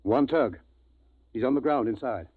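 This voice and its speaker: A man playing a measured, scientific ship's doctor in a 1950s radio drama. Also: mid-range voice, lively intonation, a little hiss or hum, close to an everyday voice. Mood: assessing. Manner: measured, analytical explanations. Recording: dry muffled vintage radio recording.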